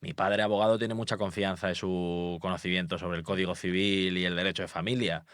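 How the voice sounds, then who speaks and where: A man's voice: sits low, level tone, fairly quick, fairly bright tone, dry recording, clean studio sound. A young man from Málaga with a light Andalusian accent, speaking as an emphatic, confident guest in a podcast interview. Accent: light Andalusian Spanish. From Málaga, Spain. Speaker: public speaking expert guest.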